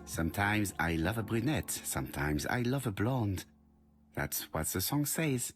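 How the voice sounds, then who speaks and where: A man's voice: lowish voice, animated, measured pace, soft background music. A man playing a courteous, self-assured older detective in a video game, with a French accent and formal, precise phrasing. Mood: observant, musing. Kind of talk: video game dialogue and inner monologue.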